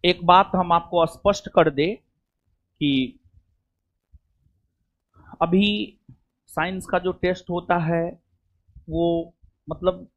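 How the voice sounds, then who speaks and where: A man's voice: high-pitched, steady tone, drawn-out words, long pauses, fairly bright tone, muffled recording. A man speaks to a coaching class. His talk is loose and chatty. He keeps circling back with little check-ins to the students. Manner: chatty, rambling, repeats "theek hai". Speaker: coaching teacher.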